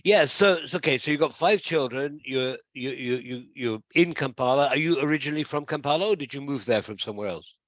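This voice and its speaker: A man's voice: mid-range voice, expressive, fairly quick, few pauses, no echo. A middle-aged man, an accountancy tutor, hosting a casual interview over a video call, full of restarts and fillers. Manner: hesitant and warm, lots of restarts and fillers. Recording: dry, slightly dull audio.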